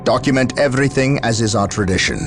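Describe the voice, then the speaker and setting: An actor playing a stern, distrustful space marine captain who gives orders with grave, clipped authority. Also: male voice, medium pitch, quick pace, over music, natural voice.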